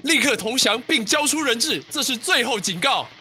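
A man's voice: very high voice, lively intonation, normal speed, bright, forceful tone, faint music behind, exaggerated voice. A man acting tense, urgent armed men in a standoff for a radio drama, with a commanding manner. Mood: stern.

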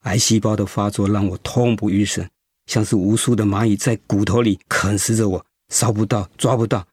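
Radio drama: sick young man, lowish pitch, despairing, stubborn, close dry sound. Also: steady tone, moderate pace, darkish tone, slightly rough, no echo. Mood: pained, weary. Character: bedridden young cancer patient, despairing but stubborn man.

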